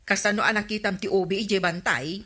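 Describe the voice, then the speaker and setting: Woman acting a bright, sassy village councilwoman. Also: mid-range voice, a little fast, lightly acted. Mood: questioning. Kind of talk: Ilocano radio comedy drama acting.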